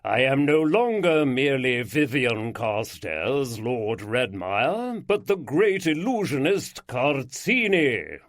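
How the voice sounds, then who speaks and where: A man playing a flamboyant aristocratic lord with a rich baritone and an aristocratic drawl, speaking in slow, grand, emphatic phrases. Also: very animated, no echo, studio quality. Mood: grandiose.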